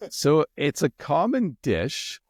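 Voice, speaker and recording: A middle-aged Canadian man with a Canadian accent, chatting as an interview guest in a relaxed, rambling way with many ums and restarts. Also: fairly high pitch, slow pace, no room sound, studio quality. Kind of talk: casual interview chat.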